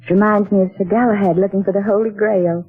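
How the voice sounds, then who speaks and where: An actress playing a fond, dreamy wife in 1940s radio drama style. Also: female voice, mid-range voice, fairly even intonation, quick pace, breathy edge, lightly acted. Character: Sonia, a fond, romantic wife. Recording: tinny lo-fi old radio broadcast with music.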